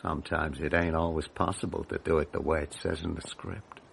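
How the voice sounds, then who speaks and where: An actor playing a vain, volatile film director cornered as a killer, ranting and menacing in a radio drama. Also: male voice, extremely low pitch, flat delivery, fairly quick, gravelly, a little hiss or hum, exaggerated voice. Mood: bitter, confessing. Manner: ranting, swinging from menace to outburst.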